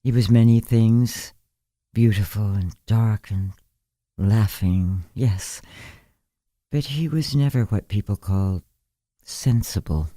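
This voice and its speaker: An ancient bedridden woman read by a male narrator in a literary audiobook. She speaks slowly and candidly, musing with gentle wry superiority, in a mellow, soft tone. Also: fairly low pitch, dry recording. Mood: wry, reflective. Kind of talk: literary story character reading.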